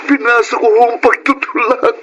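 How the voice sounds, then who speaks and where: An actor with a Filipino accent playing a desperate young small-time drug pusher, pleading and defensive, in a radio drama. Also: male voice, very high-pitched, fairly even intonation, moderate pace, dull audio, loud music behind the voice. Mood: desperate, sorrowful.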